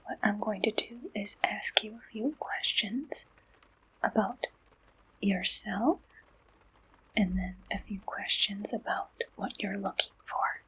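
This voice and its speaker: A woman softly performing an ASMR roleplay as a receptionist, gentle, reassuring and unhurried, in a breathy whisper close to the microphone. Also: medium pitch, poor audio.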